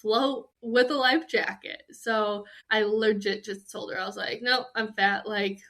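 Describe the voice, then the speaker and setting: A woman's voice: medium pitch, fairly even intonation, unhurried, fairly bright tone. A young woman hosting a podcast, talking casually and candidly with a slightly nasally voice from a cold and lots of "like" fillers. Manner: chatty and candid, with many "like" fillers. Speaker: podcast host. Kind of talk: casual chat.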